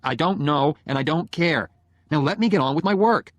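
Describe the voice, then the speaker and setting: Guy acting a proud young student husband in an audiobook, curt n heated, husky, swingy pitch. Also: very animated, normal speed, no echo. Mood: irritated.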